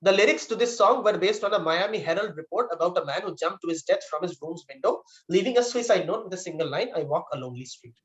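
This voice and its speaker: A man's voice: high voice, animated, fast, few pauses. Muffled male quiz master. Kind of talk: reading quiz questions and judging answers on a video call.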